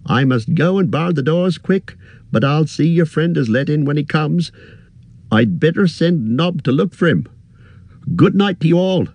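A man's voice: a little high, expressive, a little slow, vocal fry, darkish tone, no echo, voice pushed up, heavily acted. A man reading aloud, voicing a fussy, anxious middle-aged male innkeeper who chatters in a rustic way. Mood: bustling.

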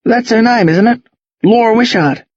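A man's voice: very high-pitched, normal speed, darkish tone, breathy edge, no echo, dull audio, pitched above the performer's own voice. A man voicing an angry, defiant teenage Australian boy in an audiobook, blunt, slangy and confrontational. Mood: impatient, pressing. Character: angry accusing teenage boy, rough, foul-mouthed, defiant.

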